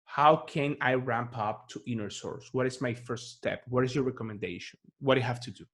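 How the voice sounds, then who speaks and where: Male podcast host, playful, mid-pitched casual questioner. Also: brisk, fairly bright tone. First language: Spanish. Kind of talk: casual interview.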